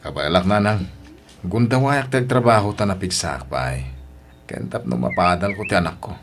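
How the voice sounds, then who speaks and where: A man's voice: sits low, expressive, moderate pace, a little hiss or hum. A man with a Filipino accent playing a devoted, hardworking widowed father in an audio drama. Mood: earnest. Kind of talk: Ilocano audio drama acting.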